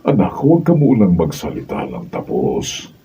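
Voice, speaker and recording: A man's voice: rumbling low, wildly swooping pitch, normal speed, mellow, slightly rough, full character voice. An actor playing an apologetic, kindly older father-in-law in a Filipino radio drama, hesitant and coaxing. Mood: embarrassed, reassuring. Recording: muffled, distant mic, faint noise.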